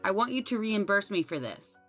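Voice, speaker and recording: A woman's voice: mid-range voice, big pitch swings, a little fast, faint music behind, close to an everyday voice. A woman narrator voicing a wronged young wife in a story, aggrieved, emphatic and resolute. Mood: bitter, accusing. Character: wronged young daughter-in-law, bitter, pained, resolute.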